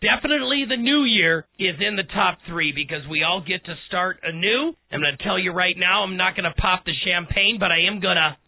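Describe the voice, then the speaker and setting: Raspy hoarse guy on talk radio, high-ish voice, dry tinny sound like a phone line. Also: brisk, hardly any pauses, slight background noise. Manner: folksy, direct address to listeners. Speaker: radio talk show host. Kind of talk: casual one-on-one radio talk.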